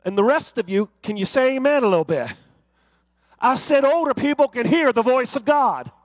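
A man's voice: extremely high pitch, expressive, moderate pace, no echo. A man preaching a sermon, building to fervent, rhythmic refrains.

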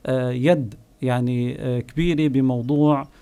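A man with a Palestinian Bedouin accent, a guest recalling his home village, pausing often with long filler sounds. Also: mid-range voice, fairly even intonation, warm tone, smooth. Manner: unhurried storyteller, pauses often with drawn-out "ah" fillers. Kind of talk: reminiscing oral history on a call-in show.

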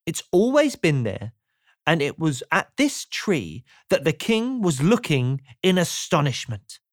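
A man reads a children's storybook aloud at an unhurried pace. His delivery is playful and swings widely in pitch, as if sharing the silliness with a young listener. The recording is dry and close. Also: big pitch swings, dry recording. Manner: playful, asks the listener rhetorical questions. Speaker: audiobook narrator. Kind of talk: scripted children's storybook narration.

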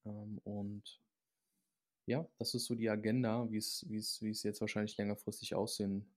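A man's voice: fairly low pitch, flat delivery, moderate pace, a little mellow. A man, a guest athlete on a podcast, talking casually and rambling with frequent fillers.

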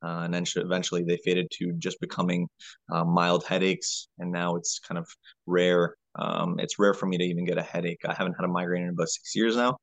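A man, a health coach answering as a podcast guest in a halting, conversational way, often repeating words. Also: sits low, little pitch movement, gravelly, no echo.

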